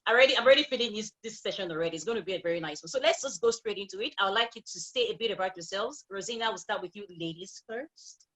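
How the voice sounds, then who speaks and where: A woman moderating an online panel, lively and chatty, laughing often and repeating words as she hosts over a video call. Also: medium pitch, very fast, hardly any pauses, rough recording. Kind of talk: casual panel hosting.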